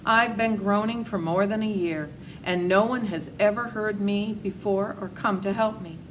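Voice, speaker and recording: A woman's voice: medium pitch, steady tone, normal speed, slight background noise, pitched above the performer's own voice, natural voice. A woman voicing a polite, grateful rusted tin man in a storybook read-aloud, with a plaintive, courteous manner. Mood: plaintive, stiff.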